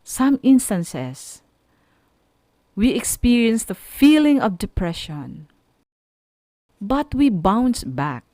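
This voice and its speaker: A woman with a Filipino accent teaching students on an educational radio program, speaking emphatically and deliberately in short, separated phrases. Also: on the high side, very animated, dark tone, no room sound, studio quality. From Philippines. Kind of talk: educational radio lecture, addressing students directly.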